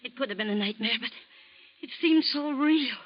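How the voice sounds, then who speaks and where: An actress playing a wealthy, sharp-tempered older aunt in an old radio drama. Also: female voice, fairly high pitch, normal speed, natural voice. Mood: uneasy. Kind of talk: 1940s radio acting. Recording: dull old radio recording.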